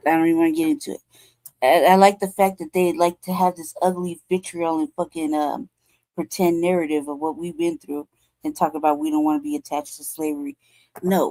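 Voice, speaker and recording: A woman's voice: low-pitched, a little mellow, no echo. A woman hosting a show, talking in blunt, profane, rambling commentary and laughing often. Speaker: show host.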